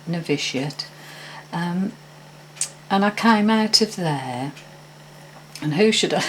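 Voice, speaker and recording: A woman's voice: sits low, slight background noise, poor audio. An older woman telling a personal story in a casual, hesitant, meandering way with frequent restarts. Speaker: vlogger.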